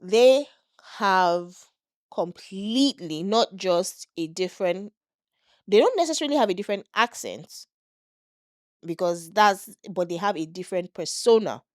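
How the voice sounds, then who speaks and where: A woman's voice: medium pitch, animated, unhurried, dry recording, clean studio sound. A middle-aged woman with a Nigerian accent, co-hosting a casual podcast and steering the talk to new topics. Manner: conversational, steers topics, checks in with "do you get what I mean". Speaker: podcast co-host. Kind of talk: casual chat.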